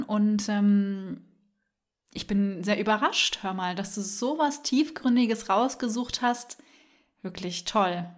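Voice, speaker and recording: A friendly woman co-hosts a book podcast and sounds enthusiastic about her reading year. Her voice is mid-pitched, and she talks in an easy, casual way. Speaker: podcast co-host. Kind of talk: casual chat.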